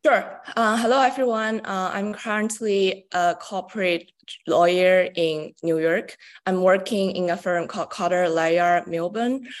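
A woman's voice: fairly low pitch, steady tone, unhurried. A woman who is a corporate lawyer, introducing herself in a hesitant way with "uh"s and restarts. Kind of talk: brief self-introduction.

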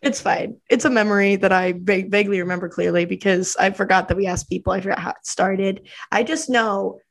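A woman's voice: mid-range voice, steady tone, gravelly. Teasing, giggly woman in her twenties. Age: 20s. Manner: teasing, giggly, quick to interrupt. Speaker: podcast host. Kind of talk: casual podcast chat.

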